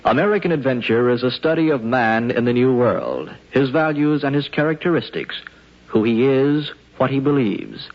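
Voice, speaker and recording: A man reading scripted credits as a formal, measured 1950s radio announcer. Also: medium pitch. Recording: dull 1950s radio recording, music behind. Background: music.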